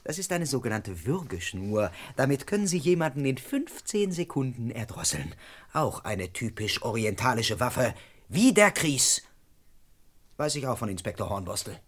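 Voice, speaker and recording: A man's voice: medium pitch, very animated, normal speed. An actor playing an exasperated, dogged police constable who investigates and narrates his case in a radio crime play. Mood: probing, matter-of-fact. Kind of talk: German radio crime play, dialogue and first-person narration.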